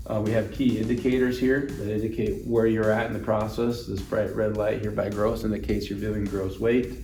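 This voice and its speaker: A man's voice: fairly low pitch, level tone, normal speed, warm tone. A man giving an instructional product demonstration, explaining things plainly and step by step. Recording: roomy echo, distant mic, music underneath.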